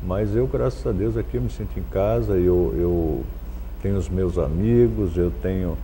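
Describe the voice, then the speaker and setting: A middle-aged Brazilian man talking as an interview guest, conversational and earnest, somewhat halting as he goes. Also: sits low, dark tone, a little hiss or hum. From São Paulo, Brazil.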